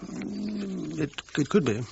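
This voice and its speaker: A formal, well-bred British man being careful with a policeman in a radio drama. His voice is distinctly creaky, and he hedges as he explains. The recording is a little dull. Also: high-pitched, expressive, slow, background noise, heavily acted. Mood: guarded, evasive. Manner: formal, guarded, hesitant. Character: well-bred man, guarded, evasive sibling of victim.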